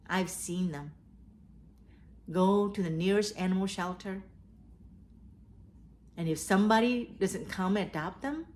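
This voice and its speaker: Slow-paced woman storyteller for kids. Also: fairly low pitch, darkish tone, a little hiss or hum. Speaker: host of a children's read-aloud storytelling show. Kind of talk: storytelling and advice addressed to children.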